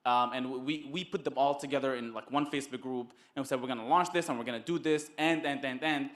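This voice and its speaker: A man giving a casual testimonial as a guest, halting and often repeating his words. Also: medium pitch, fairly even intonation, a bit bright. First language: Arabic. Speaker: summit guest, network marketer.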